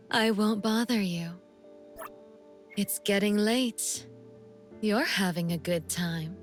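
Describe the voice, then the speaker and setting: An actress voicing a seductive, sadistic female assassin in a video game, languid and teasing, with a deliberate, breathy delivery and cool menace. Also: medium pitch, natural voice. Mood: soft, alluring. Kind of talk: video game character voice lines.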